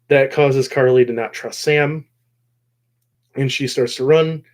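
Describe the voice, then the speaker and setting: A man hosting a casual recap discussion of a show episode, rambling along and often pausing mid-phrase. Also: mid-range voice. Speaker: host of a show discussion video.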